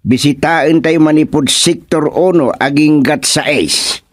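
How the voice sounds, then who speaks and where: A man with an Ilocano accent playing a proud, deliberate village chairman in a radio comedy-drama, musing in drawn-out phrases with many interjections. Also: on the high side, loud music behind the voice. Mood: pleased, musing.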